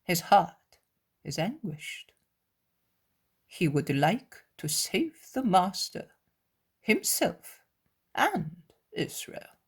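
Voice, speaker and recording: A woman voicing a gentle male elder who speaks softly and soothingly. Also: fairly low pitch, breathy edge, exaggerated voice. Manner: soft, soothing.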